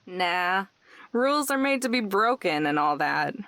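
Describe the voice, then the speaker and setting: A woman's voice: mid-range voice, big pitch swings, measured pace, a bit bright, no room sound. A woman voicing a laid-back, dry, unbothered young woman in a visual novel game. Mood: casual, unbothered.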